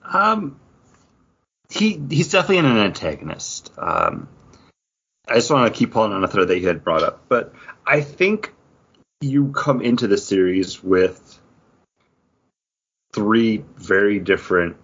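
A man's voice: deep voice, a bit bright, husky. A man co-hosting a podcast, chatting casually about film and TV over an internet voice call. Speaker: podcast co-host. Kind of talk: casual movie and TV review chat. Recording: muffled, dull voice-call audio.

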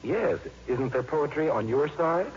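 An actor playing an affable, self-assured young high school teacher with a genial manner in a 1950s radio drama. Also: male voice, expressive, unhurried. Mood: friendly, curious. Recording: muffled, noisy 1950s radio recording.

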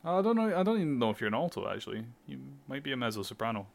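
A man's voice: medium pitch, big pitch swings, rapid-fire, creaky. A young man co-hosting a podcast, chatting casually about music, swearing freely and explaining things at length. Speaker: podcast co-host. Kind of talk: casual chat.

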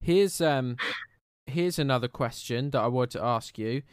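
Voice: medium pitch, measured pace, smooth, no echo, clean studio sound. A speaker with a British accent, asking questions in an easygoing, casual chat and laughing a lot.

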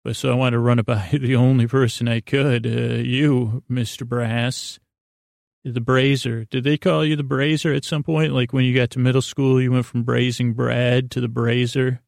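A man hosting a sleep podcast with a rambling, improvised monologue, halting and circling back on himself in an unhurried, lulling way. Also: medium pitch, flat delivery, gravelly, darkish tone, dry recording, studio quality. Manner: halting, meandering, self-interrupting, with trailing repetitions. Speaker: podcast host.

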